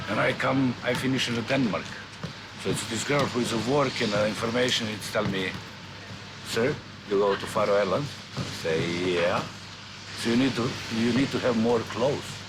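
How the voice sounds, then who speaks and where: A middle-aged man from Serbia, a football coach, speaking halting non-native English in an interview. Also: mid-range voice, background noise. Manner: halting, broken English with restarts.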